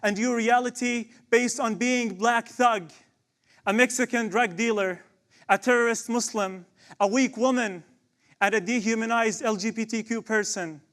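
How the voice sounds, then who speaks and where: A man's voice: extremely high pitch, fairly even intonation, normal speed, fairly bright tone. A young man from Palestine, performing impassioned spoken word poetry with rhetorical questions and repeated refrains. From Palestine, West Bank.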